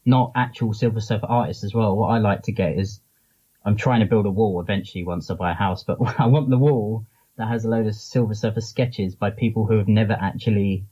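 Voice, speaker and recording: A man's voice: lowish voice, a little fast, dark tone, husky, highs cut off. A man being interviewed as a guest, talking casually and informally in loose, rambling explanations. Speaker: interview guest, comics charity founder and publisher.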